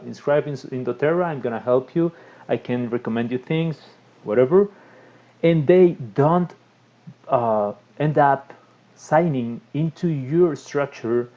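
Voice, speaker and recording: Costa rican guy, explaining stuff in english, lots of uh and restarts, warm low tone, kinda muffled lo-fi audio. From Costa Rica. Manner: halting, frequent restarts and filler sounds. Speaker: tutorial presenter. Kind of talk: casual screen-recorded tutorial.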